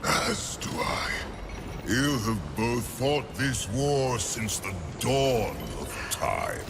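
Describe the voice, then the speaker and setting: Brief: a gravelly immortal game overlord, slow and mocking, wide dramatic sweeps in pitch. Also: male voice, wildly swooping pitch, background noise, exaggerated voice. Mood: grandiose. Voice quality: deep gravelly. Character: ancient menacing male ruler of a soul realm. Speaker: video game character. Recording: game audio with loud music.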